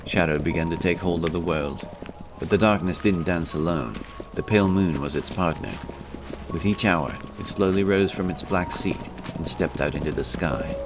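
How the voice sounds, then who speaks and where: A man's voice: low-pitched, normal speed, noisy background. A man reading scripted narration for a fantasy story as an audiobook narrator.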